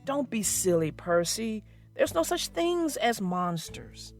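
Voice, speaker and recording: A woman's voice: mid-range voice, very animated, slow pace, faint music behind. A woman voicing a sensible, friendly male engine in a storybook. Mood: matter-of-fact.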